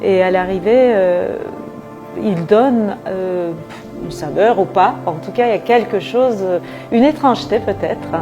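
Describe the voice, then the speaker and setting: A woman's voice: medium pitch, measured pace, highs cut off. Thoughtful woman, Turkish native speaker. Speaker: writer. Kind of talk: reflective interview testimony. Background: soft music.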